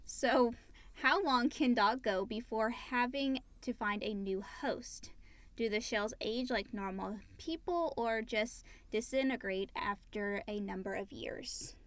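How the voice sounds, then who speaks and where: A woman's voice: fairly high pitch, slow, a bit bright. A woman co-hosting a casual podcast, reading out questions and chiming in conversationally. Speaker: co-host reading listener questions.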